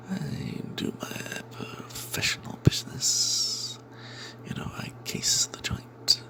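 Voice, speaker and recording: Man playing a sly whispering, gravelly rogue cleric. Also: medium pitch, rough recording. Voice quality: breathy, mostly whispered. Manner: confiding, hesitant, sardonic asides. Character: roguish, money-minded cleric adventurer, snide and sly. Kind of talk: first-person fantasy tale told in whispers, ASMR.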